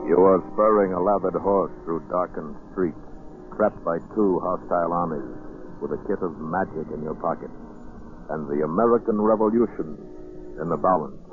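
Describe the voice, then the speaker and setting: A man's voice: sits low, normal speed. A man announcing a radio show in a theatrical, portentous 1940s style, on a vintage broadcast recording. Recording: muffled 1940s radio recording with loud music. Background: loud dramatic music.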